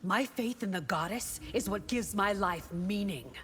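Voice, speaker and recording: An actress playing a devout, principled warrior in a fighting game, earnest and firm. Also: female voice, mid-range voice, unhurried, fairly bright tone, soft background music, close to an everyday voice. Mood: righteous. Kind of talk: fighting game character dialogue.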